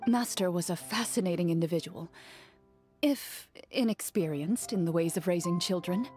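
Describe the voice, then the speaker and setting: Woman voicing a calm, dry young female duelist. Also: fairly low pitch, normal speed, lightly acted. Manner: composed, matter-of-fact, dry. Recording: game audio with soft music.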